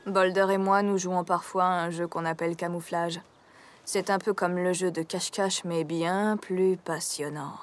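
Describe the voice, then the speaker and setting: Casting: actress as stoic young cartoon pony, lowish female voice, utterly deadpan delivery, music behind. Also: natural voice. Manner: deadpan, monotone, slow and unemotional. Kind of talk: French-language cartoon dub.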